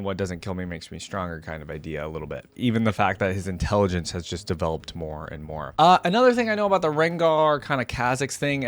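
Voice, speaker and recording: A man hosting a YouTube reaction video, speaking in a casual, rambling way, with sentences that start emphatic and trail off softer. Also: lowish voice. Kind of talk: casual reaction commentary mixed with reading aloud.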